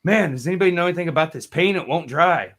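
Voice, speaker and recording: A man's voice: on the high side, lively intonation, fast talker, fairly bright tone. A man hosting a casual music talk show, telling stories in a laid-back way and acting out phone calls. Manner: laid-back storyteller, acts out phone calls in put-on voices. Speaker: podcast host. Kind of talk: casual chat, storytelling.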